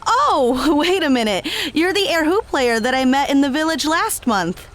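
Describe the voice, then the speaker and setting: A woman's voice: high-pitched, lively intonation, normal speed, noisy background. A woman playing an upbeat, friendly and enthusiastic female fighter in an audio drama. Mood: surprised, friendly.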